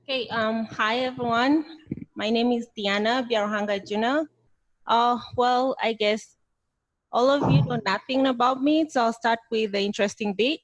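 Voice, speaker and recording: A woman's voice: a little high, moderate pace, no echo, muffled recording. Confident young woman, dry close sound. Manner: light-hearted and confident. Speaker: cyber lawyer and doctoral student. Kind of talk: conference self-introduction.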